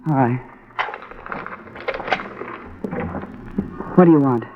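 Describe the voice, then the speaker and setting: A woman's voice: rumbling low, background noise. Defensive woman in trouble, muffled. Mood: wary, reluctant. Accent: American. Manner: defensive, halting, insistent. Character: evasive, defensive woman mixed up in murder. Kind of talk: 1950s radio drama acting. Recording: dull, muffled old radio recording.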